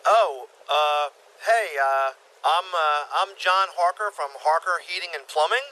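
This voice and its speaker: Man playing a high-voiced, nervous plumber. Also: measured pace, sharp tone, poor audio. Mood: awkward. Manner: hesitant, stammering, affable. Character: awkward, polite, nervous male plumber. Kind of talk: comedic audio play acting.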